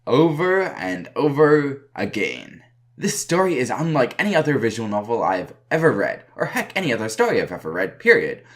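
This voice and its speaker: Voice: medium pitch, very animated, moderate pace, a bit bright. A reviewer narrating a scripted review in an informal, wry and emphatic way. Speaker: video game reviewer.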